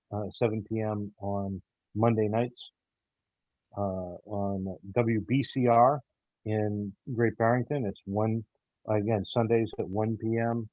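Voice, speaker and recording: Male interview guest, lower register, hesitant and sincere, casual talk in a dry space. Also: no room sound, narrow, tinny audio. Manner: hesitant, halting, earnest. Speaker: audio theater show creator, interview guest.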